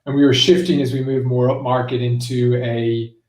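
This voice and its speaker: A man's voice: medium pitch, little pitch movement, moderate pace, darkish tone. Echoey, distant-sounding man explaining business. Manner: explanatory, peppered with "like" and "you know". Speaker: interview guest, marketing executive. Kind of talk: conversational business interview answers. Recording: roomy echo, distant from the mic.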